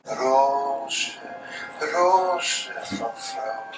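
A man giving a theatrical, declamatory poetry recitation with long pauses, in a roomy, distant playback. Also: mid-range voice, lively intonation. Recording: roomy playback from afar, faint music.

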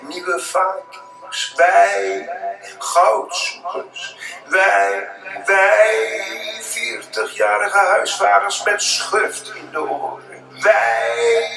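Very slow, creaky man reciting poetry. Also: high-pitched, distant mic. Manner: declamatory, incantatory, with long pauses. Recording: roomy playback from afar, faint music.

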